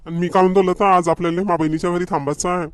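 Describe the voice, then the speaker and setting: A man's voice: sits high, fairly even intonation, rapid-fire, dry recording, lightly acted. A man voicing a fussy middle-aged village father in a cartoon comedy, with a rural Maharashtrian accent and nagging manner. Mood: impatient, hurried. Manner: nagging, repetitive urging. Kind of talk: homemade cartoon comedy dialogue.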